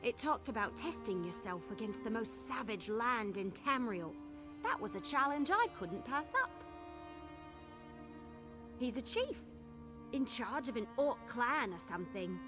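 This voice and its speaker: A woman playing a brash, sardonic young caravan guard in a fantasy video game, blunt and expressive. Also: on the high side, measured pace, music in the background. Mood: flippant, animated. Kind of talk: video game dialogue acting.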